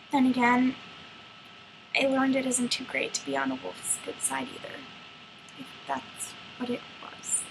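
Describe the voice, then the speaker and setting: Girl acting as a naive teen fairy tale heroine, high voice, chatty and defensive, bit of background noise. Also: fairly bright tone, poor audio. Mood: wry, storytelling. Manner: conversational, rhetorical questions, wry. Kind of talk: dramatic monologue, confiding in the listener.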